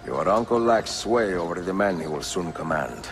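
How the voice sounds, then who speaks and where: An actor playing a seasoned Italian assassin in a video game, calm, measured and wry, with an Italian accent. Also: male voice, deep voice, soft background music. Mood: guarded, businesslike. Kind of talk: video game cutscene acting.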